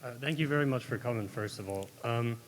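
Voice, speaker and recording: A young university student asking a question from the audience in a hesitant way, with many fillers. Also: male voice, medium pitch, a little fast. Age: young adult. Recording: hall reverb with faint hiss.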